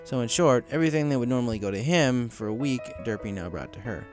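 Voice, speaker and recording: A man's voice: mid-range voice, expressive, fast talker, darkish tone. A man narrating a scripted story as an audiobook reader, in a dramatic storytelling manner. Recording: music behind the voice.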